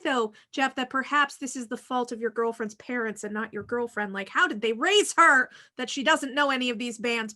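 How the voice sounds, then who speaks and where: A woman's voice: a little high, pushed and bright. A middle-aged American woman co-hosting a podcast, chatting casually and informally. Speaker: podcast co-host. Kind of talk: casual chat.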